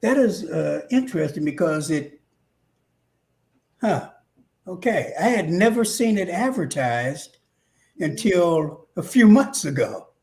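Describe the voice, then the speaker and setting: Slow-talking guy hosting an interview, measured formal questions. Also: a little high, big pitch swings, gravelly, a little mellow. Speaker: interview host.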